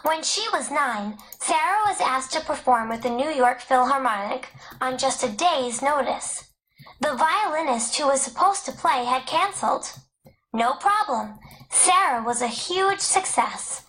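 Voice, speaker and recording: A woman's voice: high voice, lively intonation, unhurried, a bit bright, poor audio. A woman reading a scripted educational text aloud as a narrator. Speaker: audiobook narrator.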